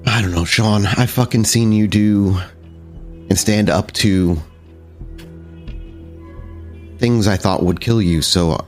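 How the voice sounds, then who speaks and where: Man voicing a low-pitched, worried, blunt young woman. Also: close to an everyday voice. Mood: worried, earnest. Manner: halting, blunt, swearing. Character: worried young woman, loyal, exasperated, teasingly affectionate friend.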